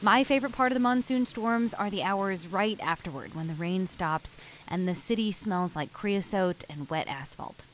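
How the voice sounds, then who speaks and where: Measured woman radio reporter. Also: medium pitch, a little hiss or hum, low-quality recording. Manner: measured, reflective. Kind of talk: scripted news feature narration.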